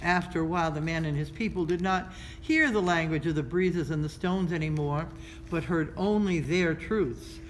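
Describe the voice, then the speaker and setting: A woman reads a children's story aloud in a measured, expressive storytelling style, pausing between phrases. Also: sits low, rough recording. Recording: noticeable background noise.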